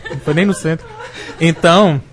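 A man's voice: on the high side, a little fast, heavy background noise. A man co-hosting a casual group chat, joking and teasing the others, laughing often.

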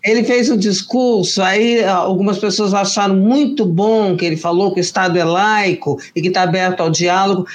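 A woman with a Brazilian accent, a panel commentator giving a critical, measured take on a new minister. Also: medium pitch. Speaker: political commentator.

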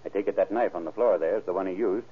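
Fast-talking old radio sheriff. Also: male voice, sits low, husky. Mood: brisk, probing. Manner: businesslike, clipped questioning. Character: brisk, probing male county sheriff questioning witnesses. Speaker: actor in a crime radio drama. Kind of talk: old-time radio acting. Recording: muffled, band-limited vintage radio recording.

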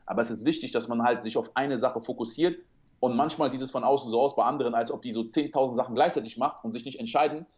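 A man's voice: medium pitch, quick pace, darkish tone, dull audio. A man whose first language is French, talking as a speaker and coach in a casual interview, earnest and motivational, building long metaphors.

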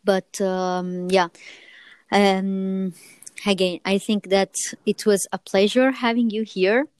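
Smooth-voiced woman podcast host, late twenties. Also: medium pitch, flat delivery, takes it slowly, a little mellow, dry recording. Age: late 20s. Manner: hesitant, many fillers and restarts. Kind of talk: casual interview chat.

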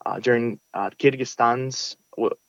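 A man's voice: mid-range voice, fairly even intonation, unhurried, no room sound, dull audio. A young man from Brooklyn, earnestly answering interview questions with many restarts and fillers. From United States (Brooklyn). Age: young adult. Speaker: interview guest, valedictorian. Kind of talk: casual interview.

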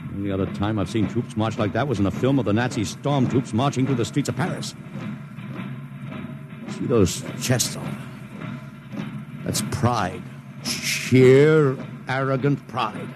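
An actor playing an urgent, streetwise publicity agent in a 1950s radio drama. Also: male voice, medium pitch, noisy background, lightly acted. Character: fast-talking male publicity agent.

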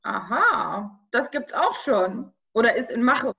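A woman's voice: on the high side, very animated, slow, gravelly, sharp tone, husky. A woman interviewing a guest, listening attentively and asking follow-up questions. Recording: slightly dull lo-fi audio.

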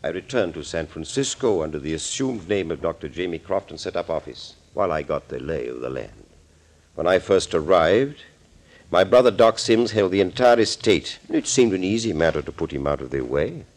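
An actor playing a sinister middle-aged doctor, florid and mock-polite, in a 1940s radio thriller. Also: male voice, deep voice, big pitch swings, a little fast. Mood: scheming. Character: sinister middle-aged male doctor, suave, gloating schemer.

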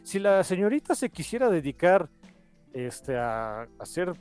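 A man's voice: high-pitched, very animated, a little slow, darkish tone, smooth, faint music behind. A man with a Mexican accent hosting a podcast, chatting casually in an enthusiastic, rambling way. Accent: Mexican Spanish. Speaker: podcast host.

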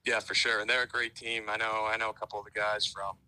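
A man's voice: lowish voice, flat delivery, fast, sharp tone. A young man calling in to a sports radio show, speaking eagerly and emphatically. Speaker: caller, college sports fan.

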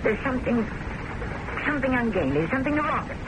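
An actress playing an embittered, proud unmarried secretary in an old-time radio drama, with an intense, indignant delivery. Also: female voice, fairly high pitch, big pitch swings, fast. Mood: bitter, accusing. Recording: noisy old radio broadcast recording.

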